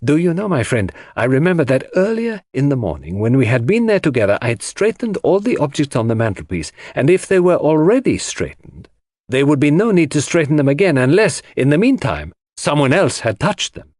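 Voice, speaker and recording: Guy doing a clever detective in an audiobook, kinda husky, dry close sound, theatrical, methodically explaining stuff, sure of himself. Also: a little high, sing-song, brisk, darkish tone, no room sound, pitched above the performer's own voice. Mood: confident, explanatory. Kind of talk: audiobook character dialogue.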